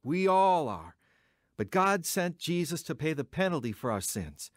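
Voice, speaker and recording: An actor in an audio drama playing an earnest, emotional, wavering ex-convict who has newly found religion. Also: male voice, on the high side, very animated, measured pace, no echo, clean studio sound. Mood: excited, insistent. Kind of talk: audio drama acting and first-person narration.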